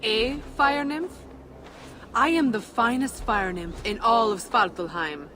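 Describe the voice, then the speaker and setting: A woman's voice: on the high side, takes it slowly, fairly bright tone, a little hiss or hum. A woman playing a proud, sardonic fire nymph in a video game cutscene, with a haughty, formal way of talking.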